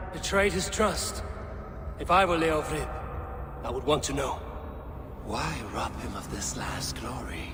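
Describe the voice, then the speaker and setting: An actor playing a resolute, honourable Viking warrior leader in a dramatic video game cutscene, grave and deliberate. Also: male voice, medium pitch, background noise, close to an everyday voice. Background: dramatic game music.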